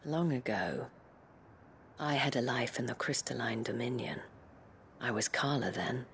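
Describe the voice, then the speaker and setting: A worldly, gracious former courtesan in a fantasy game. She speaks very slowly and softly, with a breathy, genteel, storyteller's delivery. Faint music plays underneath. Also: extremely low pitch, heavily acted. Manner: measured, genteel, eloquent, storytelling. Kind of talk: dramatic video game cutscene acting.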